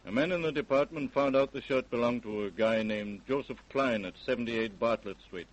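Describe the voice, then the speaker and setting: A man playing an unhurried American police doctor in an old-time radio crime drama. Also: medium pitch, dull audio, natural voice. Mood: matter-of-fact.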